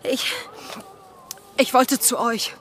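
An actress playing a resolute young gentlewoman in a pirate-era radio drama, speaking proudly in formal, old-fashioned phrasing. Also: fairly high pitch, takes it slowly, a little hiss or hum. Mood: urgent. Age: young adult. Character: fierce, determined young gentlewoman turned fighter in pirate era. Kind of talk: German radio drama acting.

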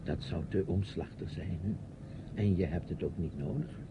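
A man's voice: low voice, moderate pace, heavy background noise. An actor playing a reproachful, patronising uncle questioning his niece in a radio play. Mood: quiet reproach. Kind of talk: radio drama acting. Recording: dull old radio play recording, music.

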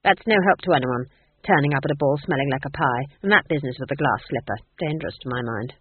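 A woman's voice: very deep voice, fast talker, slight background noise. A woman voicing a stern, imperious old witch who speaks in a curt, commanding and disapproving manner for an audiobook. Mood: disdainful. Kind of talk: audiobook character voice. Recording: thin, phone-like band-limited audio.

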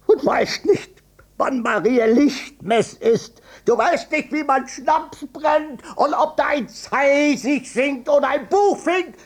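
A man's voice: very high-pitched, full character voice. An actor playing a stubborn, evasive old father and former wartime policeman with a short temper, in a German radio drama. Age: older. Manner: blustering, repetitive, swinging from muttering to shouting.

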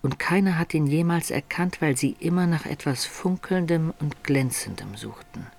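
An actor playing a middle-aged adventurer who recounts his life in measured, literary radio drama narration. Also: fairly high pitch, gravelly, a little hiss or hum. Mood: awed.

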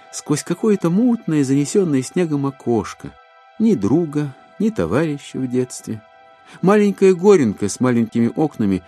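A man narrating a literary audio drama in an expressive, theatrical way with deliberate dramatic phrasing. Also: mid-range voice.

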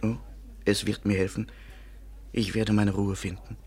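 An actor playing a lovesick, self-pitying French waiter with theatrical, mournful delivery in a 1950s German radio play. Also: male voice, lowish voice, flat delivery, measured pace, a little mellow, slightly rough. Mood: mournful, despairing. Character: lovesick, melodramatic French waiter and hobby painter, a man.

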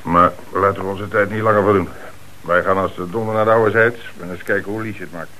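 Police commissioner, low bright voice, methodical, commanding, old radio drama, clear hiss. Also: male voice, fairly even intonation, a little fast. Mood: methodical, decisive. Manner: authoritative, methodical. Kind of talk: radio play acting. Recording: hissy old radio recording.

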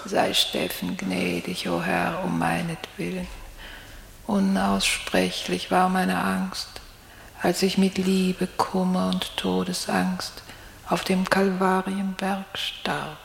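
A woman's voice: lowish voice, takes it slowly, vocal fry, a little hiss or hum, full character voice. An actress playing a dead mother's pious, mournful ghost in a radio play, solemn and incantatory. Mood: anguished, pleading. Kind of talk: 1960s German radio play acting. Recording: long cavernous reverb.

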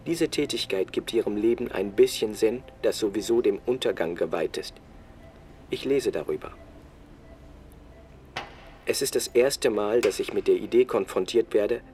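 A man narrates in a fairly low voice, measured and matter-of-fact, as acted for a radio play. His delivery is sober and detached, suited to grim history. Faint music plays behind him. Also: darkish tone, lightly acted. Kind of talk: sober scripted first-person narration.

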